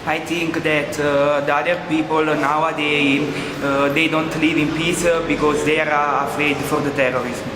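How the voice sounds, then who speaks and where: Male student, monotone and halting, recorded in an echoing room with background noise. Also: fairly high pitch. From Italy. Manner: halting, with fillers.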